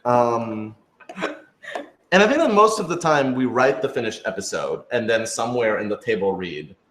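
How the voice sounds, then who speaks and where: A man answering questions in a casual discussion, thinking aloud with halting, hedged phrasing. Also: medium pitch, animated, a bit bright. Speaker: podcast creator and writer reading fan questions. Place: indoor room with echo. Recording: roomy, echoing room sound.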